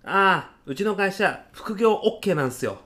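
A man's voice: sits high, expressive, moderate pace, pushed and bright. A man acting as an earnest, overzealous young salesman who moonlights as a food courier in a comic radio drama, speaking in eager, casual-polite salaryman style. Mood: earnest, excited.

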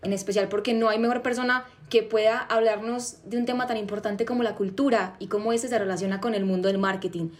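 A woman's voice: medium pitch, steady tone, fast talker, few pauses. Woman host, courteous, admiring, interview questions, mid-range voice.

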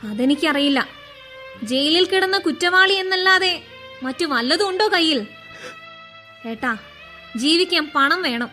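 Very high-voiced, reproachful young sister. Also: female voice, expressive, measured pace, pauses often, sharp tone, soft background music, full character voice. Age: young adult. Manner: reproachful, pragmatic. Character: cold, defensive younger sister about to marry. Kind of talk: radio drama acting.

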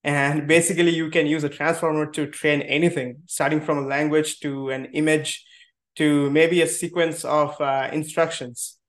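A man's voice: on the high side, little pitch movement, moderate pace. A man hosting an interview podcast, speaking with many hesitant "uh" fillers. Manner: heavy use of "uh" fillers. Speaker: podcast host. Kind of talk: conversational interview. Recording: echoey room.

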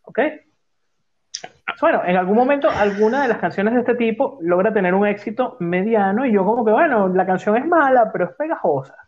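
A man's voice: high voice, lively intonation, fairly quick. A man from Venezuela hosting a casual music talk, chatty and joking as he tells stories. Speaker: podcast host. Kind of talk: casual chat, leading a music playlist talk. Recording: dull lo-fi sound.

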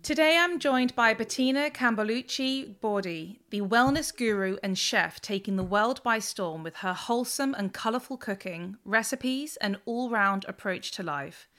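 A woman's voice: a little high, lively intonation, normal speed, hardly any pauses, fairly bright tone. A woman hosting a food podcast, reading a scripted intro before chatting casually with her guest. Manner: reads a scripted intro, then chats casually and laughs along. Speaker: podcast host. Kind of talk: podcast interview.

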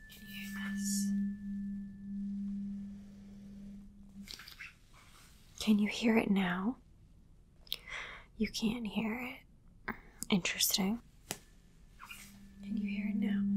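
A woman playing a calm alien examiner in an ASMR roleplay, whispering softly and slowly, with clinical reassurance. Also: mid-range voice. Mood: calm, probing. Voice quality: breathy whisper. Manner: soft, unhurried, repetitive, clinically reassuring. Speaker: ASMR performer.

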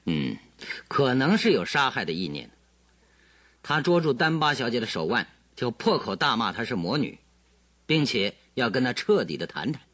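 An assured detective with animated, dry studio delivery. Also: male voice, mid-range voice, moderate pace, no room sound. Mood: assured, explaining. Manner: expository, assured, theatrical. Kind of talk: Chinese radio drama acting.

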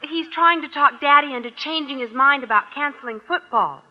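A muffled old radio recording of a woman playing a sweet American schoolgirl. Her voice is high and girlish, and she speaks earnestly and sensibly. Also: fairly quick, lightly acted. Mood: chatty. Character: sweet, sensible teenage schoolgirl, principal's daughter. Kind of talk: 1940s-50s American radio comedy acting.

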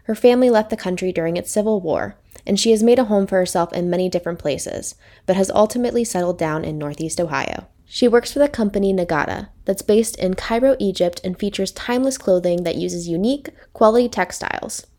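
Woman announcer reading a scripted intro. Mellow and even. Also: medium pitch, brisk, hardly any pauses. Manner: smooth, read from a script. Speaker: podcast announcer.

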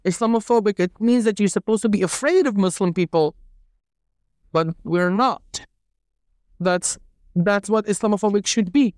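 A woman's voice: mid-range voice, clear, resonant tone, no room sound, studio quality. A woman guest on a discussion podcast, speaking English as her second language. She argues emphatically and indignantly, often restarting her sentences.

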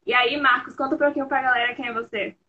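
Young woman, high, sharp voice, upbeat stream host, dull call audio with faint hiss. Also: steady tone, quick pace. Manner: upbeat, addresses the audience as "galera". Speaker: product lead hosting a live stream. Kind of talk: casual live-stream hosting. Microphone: video call audio.

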